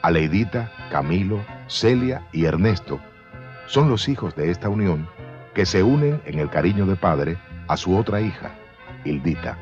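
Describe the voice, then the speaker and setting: Deep-voiced solemn documentary narrator. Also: male voice, expressive, normal speed, darkish tone. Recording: dull sound over music.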